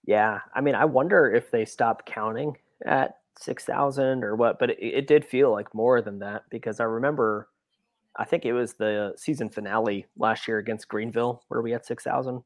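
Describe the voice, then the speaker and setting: A man's voice: mid-range voice, moderate pace, no room sound. A man co-hosting a casual sports podcast, talking in a laid-back, understated way.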